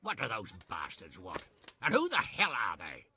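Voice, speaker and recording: An actor playing a gruff, hot-tempered medieval lord in a game, with a raspy, gravelly voice and blustery manner. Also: male voice, lowish voice, wildly swooping pitch, moderate pace, bright, forceful tone, a little hiss or hum, heavily acted. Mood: gruff, forceful. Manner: blustery, sardonic. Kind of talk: video game cutscene acting.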